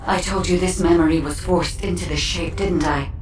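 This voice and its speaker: A composed female investigator from a video game, played by a woman, speaks in a fairly low, measured, instructive voice. She sounds echoey and a little distant. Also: steady tone, natural voice. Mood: urgent. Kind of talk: scripted video game drama. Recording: echoey, distant mic, faint noise.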